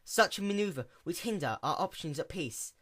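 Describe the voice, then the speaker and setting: Man as earnest, high-voiced sea pony attendant. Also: lively intonation, normal speed, a bit bright. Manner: formal, explanatory.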